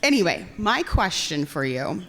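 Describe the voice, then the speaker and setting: A woman's voice: lowish voice, very animated, normal speed, gravelly, a bit bright. A woman fan asking a question at a live event, gushing and giggly. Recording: reverberant hall with faint noise.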